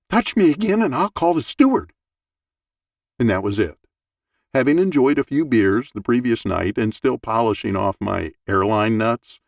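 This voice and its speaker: A man in his sixties with a gravelly voice, reading a humorous first-person essay as an audiobook narrator in a wry storytelling manner. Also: fairly low pitch, dry recording, clean studio sound, far from the mic. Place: small dark home recording booth, dry.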